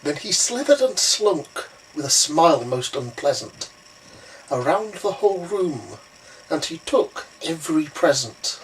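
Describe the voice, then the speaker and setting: Male storybook narrator: theatrical, slow-paced, highly varied pitch, recorded at a slight distance with some noise. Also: sing-song. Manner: dramatic, theatrical. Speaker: story reader. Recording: roomy, distant, faintly noisy recording.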